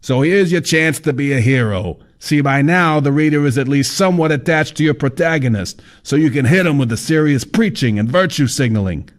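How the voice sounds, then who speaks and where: A man's voice: fairly high pitch, animated, fairly quick, hardly any pauses, pitched above the performer's own voice, natural voice. A man voicing a rotund, pushy writing mentor who talks in a showy, theatrical way.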